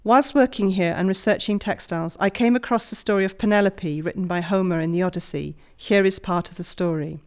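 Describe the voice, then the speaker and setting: A middle-aged woman from Wales, an artist reading a calm, measured, reflective voice-over about her own work. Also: mid-range voice. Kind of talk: scripted voice-over narration.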